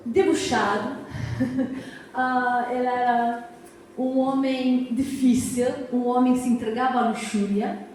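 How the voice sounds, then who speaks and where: A woman's voice: a little high, flat delivery, slow, a little hiss or hum. An American woman from Massachusetts with a Portuguese accent, English her first language, giving a chatty public lecture as a historian and checking in with the audience. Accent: Portuguese (Azorean family), American English. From United States, Massachusetts. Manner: warm, chatty, asks the audience questions, frequent "tá?" check-ins. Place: hall of a cultural society. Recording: echoey hall, mic far off.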